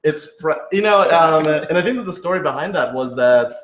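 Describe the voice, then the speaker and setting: A man's voice: fairly high pitch, lively intonation, a little fast. A man in a casual Q&A chat, explaining things in a rambling way full of filler words. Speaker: writer and audio editor of an audio drama. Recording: roomy, echoey room sound.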